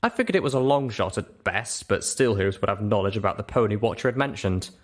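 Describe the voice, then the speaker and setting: Male audiobook narrator, first-person storytelling, slightly low register. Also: expressive, fairly quick.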